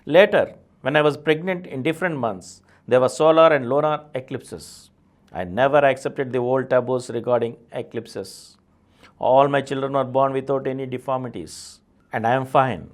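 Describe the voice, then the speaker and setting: A man's voice: mid-range voice, moderate pace. A man with an Indian accent reading a memoir aloud as a presenter of an audio series. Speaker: audiobook reader, channel presenter.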